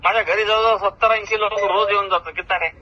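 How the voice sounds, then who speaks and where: A man's voice: sits high, fairly even intonation, quick pace, a little hiss or hum. An older man with a Marathi accent from Maharashtra, talking boastfully and confrontationally at length on a recorded phone call. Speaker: retired government officer. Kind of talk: heated informal phone conversation.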